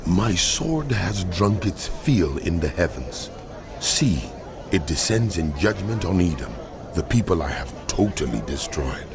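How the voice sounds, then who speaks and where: Casting: a wrathful deity, very low voice, sweeping solemn delivery, scripture audio drama. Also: male voice, big pitch swings, measured pace, a crowd in the background, heavily acted. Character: God, a wrathful male deity pronouncing judgment.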